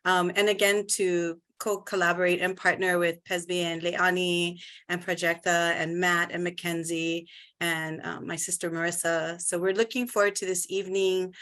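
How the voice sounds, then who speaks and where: A woman's voice: on the low side, little pitch movement, normal speed, fairly bright tone. A woman from Hawaii facilitating an online workshop over a video call, welcoming participants and giving an overview. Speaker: facilitator, cultural practitioner.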